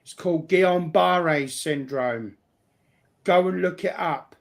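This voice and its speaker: A man's voice: sits high, measured pace, vocal fry. A blunt, sweary man chatting casually as a guest on a livestream.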